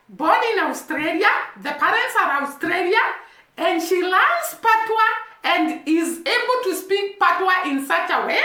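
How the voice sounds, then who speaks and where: Lady hosting a reaction vid, really high voice, slow and earnest, kinda echoey. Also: pushed and bright. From Kenya. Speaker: reaction video host. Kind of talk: commentary.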